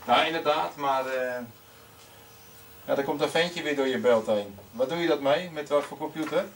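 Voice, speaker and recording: A man's voice: on the high side, a little slow, long pauses. Radio hobbyist guy chatting, bit hesitant, repeats stuff, off the mic w some light noise. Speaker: amateur radio operator. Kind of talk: casual technical radio chat. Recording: slightly noisy, distant microphone.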